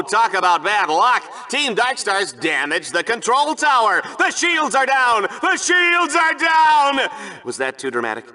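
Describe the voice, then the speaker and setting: A man playing an excitable, smug and goofy sports announcer for a deadly video game contest, with a jokey showman delivery. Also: high-pitched, exaggerated voice. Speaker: play-by-play announcer. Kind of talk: over-the-top sports commentary.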